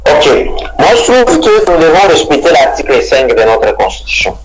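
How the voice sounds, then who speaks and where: A man's voice: fairly high pitch, sing-song, moderate pace, noisy background. A man from DR Congo with a Congolese French accent, an expert guest arguing his opinions in an interview, insistent and repeating phrases for emphasis. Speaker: electoral reform expert being interviewed.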